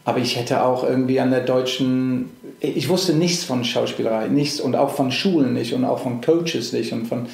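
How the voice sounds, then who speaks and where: A middle-aged man from England whose native tongue is English talks in a relaxed, hesitant way, full of fillers and restarts. His voice has a gravelly, fry-edged texture, and the room around him rings with echo. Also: mid-range voice, normal speed. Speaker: actor, podcast guest. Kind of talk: casual interview chat.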